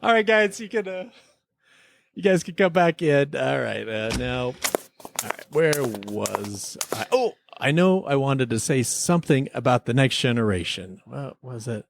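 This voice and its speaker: A man's voice: medium pitch, expressive, a little slow, gravelly, background noise. A man hosting a podcast in a casual, joking review-chat style. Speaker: podcast host.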